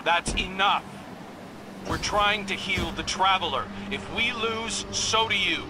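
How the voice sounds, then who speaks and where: A man's voice: sits high, unhurried, noisy background. A man voicing an earnest young space-soldier scout in a video game, speaking with urgency. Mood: angry.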